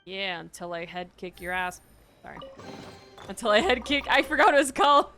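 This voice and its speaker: A young woman streamer giving casual, slangy, expressive live reactions as she plays a game. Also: medium pitch, a little fast, faint music behind.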